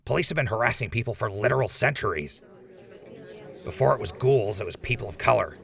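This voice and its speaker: A man's voice: fairly low pitch, animated, fairly quick, creaky, a bit bright. A man playing a defiant, outspoken ghoul defendant in a post-apocalyptic audio drama, arguing his case in an impassioned, rambling way. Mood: indignant.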